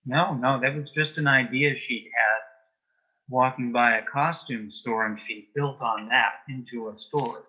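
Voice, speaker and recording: A man answering as an interview guest in a conversational way, with brief, deliberate replies. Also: mid-range voice, steady tone, breathy edge. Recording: dull, lo-fi sound.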